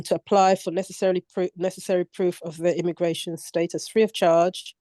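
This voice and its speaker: An older woman reading a policy document aloud on a video call, careful and measured, with some hesitations. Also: lowish voice, mellow, dry recording. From Commonwealth country. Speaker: book club reader.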